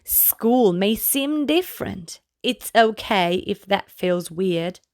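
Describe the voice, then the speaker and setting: A woman reading a children's picture book aloud as a storyteller, in a gentle, deliberate manner addressed to young listeners. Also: medium pitch, animated, no room sound, clean studio sound. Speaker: children's storybook reader, channel host. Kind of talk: read-aloud bedtime story narration for children.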